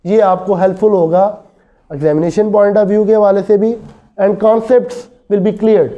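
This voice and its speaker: Male law lecturer, Pakistani accent, very dark, soft-edged tone, slow explanatory classroom delivery. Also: sits high, fairly even intonation. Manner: explanatory, switches between languages mid-sentence. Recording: dull lo-fi audio.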